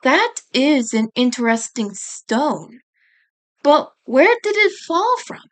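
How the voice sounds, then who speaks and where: Woman as a high-voiced, composed serpent mother. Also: big pitch swings, slow pace, heavily acted. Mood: intrigued. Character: questioning serpent-like mother creature, composed adult female.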